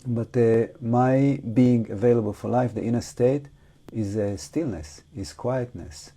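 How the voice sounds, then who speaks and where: A man who is not a native English speaker guides an unscripted meditation talk, reflective and halting, with long pauses. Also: medium pitch, mellow. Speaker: meditation teacher.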